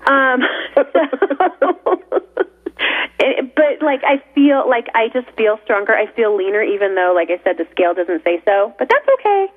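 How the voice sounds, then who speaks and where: A woman's voice: fairly high pitch, big pitch swings, measured pace. Chatty laughing woman, phone-thin sound. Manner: chatty, laughs often, trailing sentences. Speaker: radio co-host. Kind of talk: casual studio chat. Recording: narrow phone-like radio sound.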